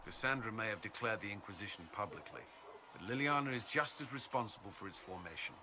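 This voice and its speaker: A man's voice: lowish voice, natural voice. An actor playing an earnest, dutiful military commander in a fantasy video game, a little hesitant in his speech. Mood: measured, earnest. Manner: hesitant, halting when flustered.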